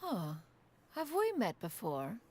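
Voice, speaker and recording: A woman's voice: mid-range voice, huge pitch swings, slow pace, a little mellow, slight background noise. A woman voicing a haughty, proud immortal crane master in a video game, speaking in a pompous, wordy, archaic manner. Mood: gracious. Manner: pompous, wordy, calls herself "one". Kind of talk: English video game voice acting.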